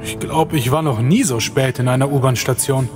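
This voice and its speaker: Young man, uneasy and wry, horror audio drama male actor, medium pitch, music bed. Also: moderate pace, natural voice. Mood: hesitant. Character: uneasy, wisecracking young man searching for his friend.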